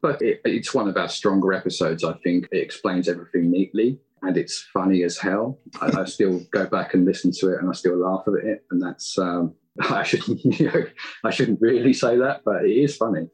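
Low, husky man chatting casually. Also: moderate pace, low-quality recording. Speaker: podcast guest, indie audio drama creator. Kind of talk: casual interview chat.

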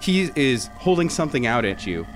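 A man's voice: mid-range voice, sing-song, normal speed, a little hiss or hum. A man running a tabletop role-playing scene as game master in an audio drama.

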